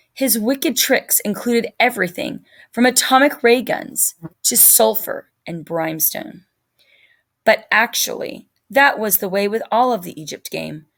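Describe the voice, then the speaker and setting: A woman's voice: medium pitch, gravelly. A woman teacher narrating a children's novel as a read-aloud.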